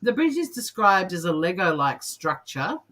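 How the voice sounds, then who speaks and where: A woman's voice: lowish voice, very animated, normal speed, fairly bright tone. A woman co-hosting a comedy news show, chatting casually and reading out odd stories in a jokey, amused way.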